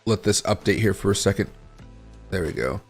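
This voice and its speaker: Tired, raspy male crypto streamer. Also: on the low side, animated, brisk, soft background music. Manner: rambling, thinks aloud while scrolling charts and reading chat. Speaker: crypto livestream host. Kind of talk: casual livestream chart analysis.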